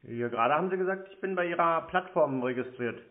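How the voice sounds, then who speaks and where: A man's voice: on the high side, animated, fast talker. A man chatting casually on the phone, terse and dry, stalling the other side. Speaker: scam-baiter taking scam calls. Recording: dull lo-fi phone call audio.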